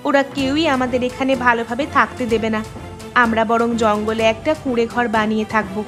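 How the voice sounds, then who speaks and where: A woman's voice: fairly high pitch, level tone, fairly quick, lightly acted. A woman voicing a sensible, peace-seeking village wife in a cartoon, coaxing as she speaks. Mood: worried, coaxing. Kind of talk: Bengali cartoon voice acting. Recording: prominent music behind the voice.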